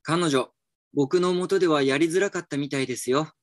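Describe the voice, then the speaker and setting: A man's voice: on the high side, fairly quick, dry recording, studio quality, lightly acted. An actor playing a reflective, slightly dejected male employee in a voice drama, polite and musing. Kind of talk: voice drama acting with inner narration. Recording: music behind the voice.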